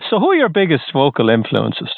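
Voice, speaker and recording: A man interviewing a musician, asking relaxed questions with brief hums in between. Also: medium pitch, fairly quick, gravelly, dry recording, phone-quality sound.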